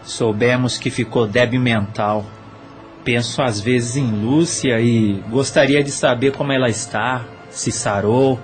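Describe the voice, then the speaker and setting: A man's voice: mid-range voice, fairly even intonation, a little slow, soft background music, close to an everyday voice. An actor playing a subdued, remorseful male spirit, once an accomplice, speaking plainly with a Brazilian accent. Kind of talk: Portuguese-language audio drama acting.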